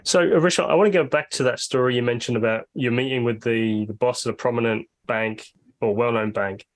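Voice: medium pitch, steady tone, brisk. A podcast host asking questions in casual chat and cheering the guest on with short affirmations. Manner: encouraging, frequent short affirmations.

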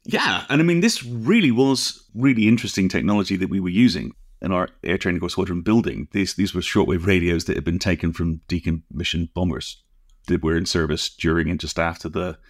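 Male podcast co-host, low register, dry recording, conversational technical explainer, precise and digressive. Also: fairly quick, dry recording.

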